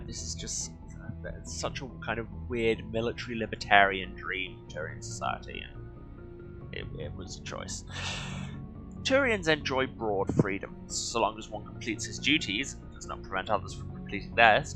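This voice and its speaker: A man's voice: fairly low pitch, very animated, moderate pace, music in the background. A man reading game lore aloud as a streamer, breaking off for wry, offhand commentary. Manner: wry, sardonic asides. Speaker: game streamer, video host.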